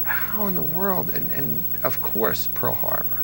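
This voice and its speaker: Gravelly-voiced man telling eager stories. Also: a little high, measured pace, music in the background, rough recording. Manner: enthusiastic storyteller, restarts often. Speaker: interview host. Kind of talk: casual nostalgic interview chat.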